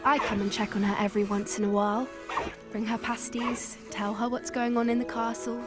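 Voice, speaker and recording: A woman playing an earnest, secretive teenage schoolgirl who loves magical beasts in a video game, with a gentle manner and a British accent. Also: a little high, little pitch movement, a little fast, lightly acted. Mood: fond, proud. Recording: game music underneath. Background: game music.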